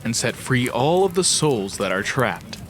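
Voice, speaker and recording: A man's voice: medium pitch, very animated, unhurried, slight background noise. A man playing a stern, righteous warden in a fantasy audio drama, speaking in a solemn, formal, commanding way. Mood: urgent, grave. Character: stern, righteous male warden, loyal to his god.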